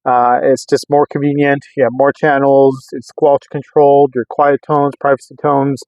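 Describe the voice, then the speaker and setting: A man's voice: medium pitch, level tone, normal speed, darkish tone, no room sound. A middle-aged man talking casually to camera as he tests gear, rambling and circling back to restate his points. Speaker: radio enthusiast vlogger. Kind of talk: casual rambling product test talk to camera. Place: in a vehicle on a road test.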